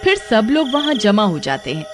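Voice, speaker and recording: A woman's voice: medium pitch, big pitch swings, normal speed, music in the background. A woman giving scripted storytelling narration as the narrator of a tale.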